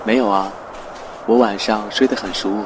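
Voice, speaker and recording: Man as low-voiced gentle, protective shoe. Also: steady tone, normal speed, background noise, natural voice. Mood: easygoing, gentle. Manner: soothing, indulgent. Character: gentle, protective, kindly young male shoe. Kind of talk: Chinese radio drama dialogue acting.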